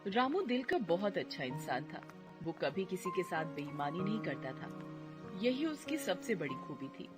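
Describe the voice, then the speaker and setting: A woman's voice: lowish voice, sing-song, normal speed, loud music behind the voice. A woman narrating a cartoon story in a scripted storytelling manner. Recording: noisy background.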